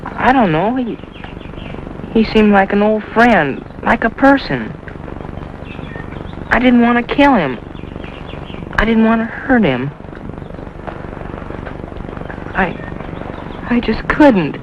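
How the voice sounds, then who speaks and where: A young male actor playing an eager, tender-hearted country boy in an earnest, excitable, folksy way in a 1950s television drama. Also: sits high, slow, long pauses, full character voice. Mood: ashamed, tender. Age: child. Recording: dull, noisy 1950s TV soundtrack.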